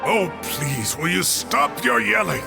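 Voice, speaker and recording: A man's voice: high voice, big pitch swings, takes it slowly, creaky, exaggerated voice. An actor playing a cocky old male scholar who boasts and haggles his way out of trouble. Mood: defensive. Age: older. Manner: boastful, bargaining, pleading. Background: background music.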